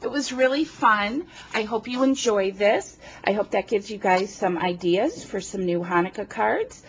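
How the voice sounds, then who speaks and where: A woman from New Jersey talks through a card-making project at a slow, unhurried pace, chatty and quick to poke fun at herself. She sniffles now and then from a runny nose. Her voice is picked up by a camera on a tripod over a craft table, with a faint hiss behind it. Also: medium pitch, expressive, low-quality recording. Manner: chatty, self-deprecating, talks while working. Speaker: card-making tutorial host. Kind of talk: casual craft demonstration. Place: home craft table, indoors. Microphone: camera on tripod above the table.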